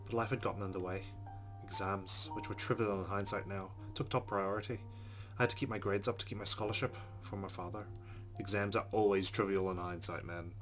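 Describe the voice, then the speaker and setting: A man reads a game's narration aloud in a low, level voice, subdued and flat, with the odd sworn aside. Clear guitar music plays behind him. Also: brisk. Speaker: let's play host.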